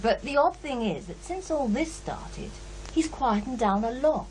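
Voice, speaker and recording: An actress playing a candid, sceptical psychiatrist in a radio drama, with a hesitant, thoughtful way of talking. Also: female voice, high voice, lively intonation, a little slow, darkish tone, a little hiss or hum, low-quality recording. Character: brisk, candid female psychiatrist, sceptical yet unsettled. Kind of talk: naturalistic radio drama dialogue.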